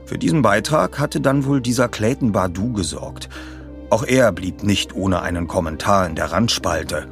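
A man's voice: fairly low pitch, lively intonation, moderate pace, soft background music. A middle-aged man, narrating a scripted story dramatically. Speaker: host and audiobook narrator.

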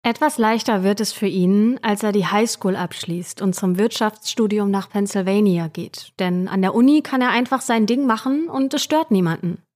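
A woman's voice: mid-range voice, normal speed, few pauses, a little mellow. A woman narrates as co-host of a true-crime podcast. Her delivery is scripted yet conversational, like storytelling aimed straight at listeners.

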